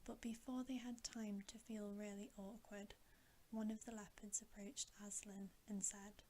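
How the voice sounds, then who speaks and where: A woman reads a bedtime story in a breathy ASMR whisper. Her delivery is slow and gentle, with little movement in pitch. The tone is soft and mellow, over a faint bed of noise. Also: flat delivery. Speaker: ASMR reader.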